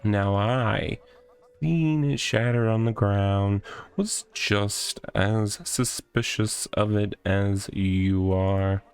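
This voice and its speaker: A man playing a rambling, gravelly oddball in a box. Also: on the low side, steady tone, drawn-out words, faint music behind. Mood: rambling, breezy. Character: odd, rambling, deadpan-joking man living in a box.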